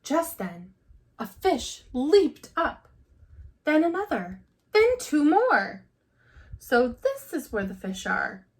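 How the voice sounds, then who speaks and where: A woman teacher reading a picture book aloud to young children in a deliberate, expressive storytelling way. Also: sits high.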